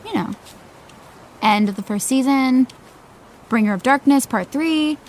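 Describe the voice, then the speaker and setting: A young best friend in a naturalistic audio drama. The voice is very high. She is persuasive and cheeky, playfully insistent as she pushes and coaxes. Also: unhurried, a little mellow, low-quality recording. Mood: coaxing, pointed. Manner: insistent, coaxing.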